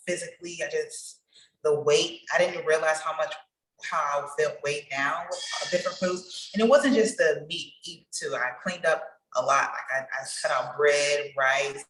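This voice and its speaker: A woman with a very low voice talks as a guest, loose and unhurried, filling gaps with "um" and "you know." She sits some way from the mic in an echoey room. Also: distant mic. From Pittsburgh, United States. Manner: relaxed, rambling, many fillers. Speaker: interview guest. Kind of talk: casual interview talk.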